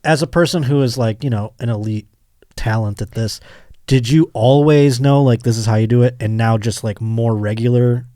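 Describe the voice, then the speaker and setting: A man hosting a comedy podcast, chatting casually and asking questions in a halting, rambling way. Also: mid-range voice, mellow, no room sound, clean studio sound. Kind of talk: casual comedic interview chat.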